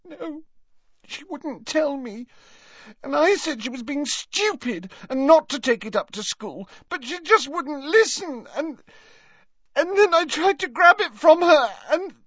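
A man voicing a distraught, sobbing teenage schoolgirl in a tearful, halting way for a story. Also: very high voice, expressive, fairly bright tone, dry recording, highs cut off, voice pushed up, full character voice.

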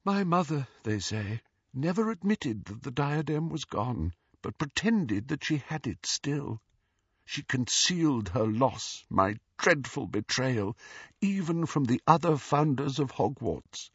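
A man's voice: mid-range voice, lively intonation, a little slow, fairly bright tone, husky, no echo, dull audio, pitched above the performer's own voice, exaggerated voice. A man voicing a proud, cold young woman ghost in an audiobook, with a stiff, disdainful and guarded manner. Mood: confessional. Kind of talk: audiobook character voice.

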